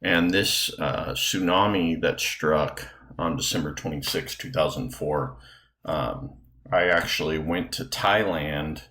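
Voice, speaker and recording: A man teaching a lesson casually, speaking in a halting, unscripted way. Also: very deep voice, sharp tone.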